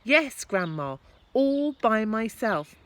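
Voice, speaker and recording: Woman as an insistent young boy, raised pitch. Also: big pitch swings, slow. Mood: proud, eager. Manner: loud, insistent calling. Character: earnest young boy, insistent, calling up to his grandmother.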